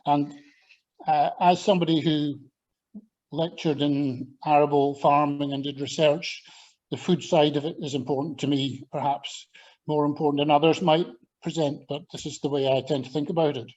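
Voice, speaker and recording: An older man from Scotland with a Scottish accent, giving a measured, thoughtful talk to a church audience, often pausing with ums and restarting sentences. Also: fairly high pitch, fairly even intonation, a little mellow, dull audio. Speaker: church talk speaker, former agriculture lecturer. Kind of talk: presenting a talk with slides.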